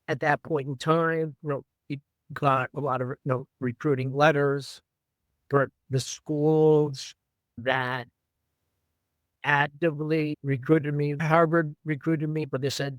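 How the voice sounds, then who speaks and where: An older man from Brooklyn, a former runner and coach, telling stories in an interview in an unhurried, fond way with many fillers. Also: a little high, no echo. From Brooklyn, New York. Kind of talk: reflective storytelling.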